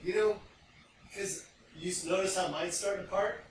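A man's voice: sits high, steady tone, normal speed, roomy sound, slight background noise, rough recording. A man co-hosting a podcast in casual, joking chat with playful interjections. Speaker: podcast co-host.

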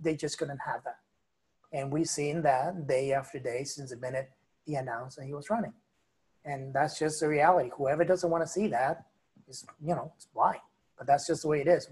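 A man's voice: fairly high pitch, a little mellow, breathy edge. A man speaking as a guest commentator on a political podcast, emphatic and opinionated. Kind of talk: casual political podcast talk.

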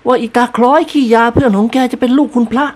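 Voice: medium pitch, steady tone, quick pace, voice pushed up, natural voice. A reader voicing a teasing, blunt man mocking a servant's friend in an audiobook.

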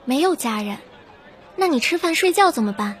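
A woman's voice: high-pitched, lively intonation, normal speed, some chatter behind. A woman playing a kindly, protective widow with a firm, caring manner in an audio drama. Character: protective, kindly widow rescuing a street child. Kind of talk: Chinese audio drama acting.

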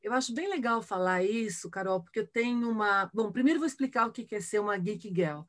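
A woman's voice: mid-range voice, a little fast. A middle-aged woman from Brazil with a Brazilian accent, answering conversationally in an interview with explanations and personal anecdotes, often checking for agreement. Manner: explanatory, frequent tag questions seeking agreement. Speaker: interview guest, engineer and tech ambassador.

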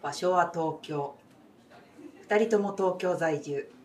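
A woman's voice: on the low side, slow pace, a little mellow. A woman reading scripted narration for a radio drama. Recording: distant mic with faint background noise.

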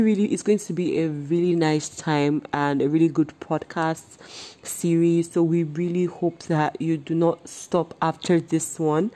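Excitable Nigerian American woman podcast host. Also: deep voice. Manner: excitable, laughs often, exclaims.

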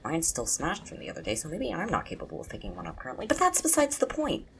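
A woman playing a confident, nosy young roommate in an audio drama, talking in a playful, probing, expressive way. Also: fairly low pitch, fast, gravelly, slight background noise, exaggerated voice. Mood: probing, exasperated. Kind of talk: casual audio drama dialogue.

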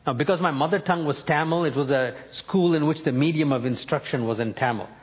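A man in his seventies, born and raised in North India, preaching a sermon by telling his own life story in a measured, storytelling way. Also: on the high side, lo-fi sound. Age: 70s. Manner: personal storytelling, measured with emphatic lifts.

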